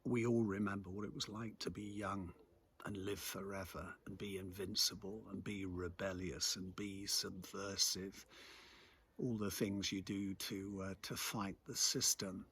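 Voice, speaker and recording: Husky low-voiced English man, musing. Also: little pitch movement, measured pace, a bit bright. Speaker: local commentator and host. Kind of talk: reflective spoken monologue.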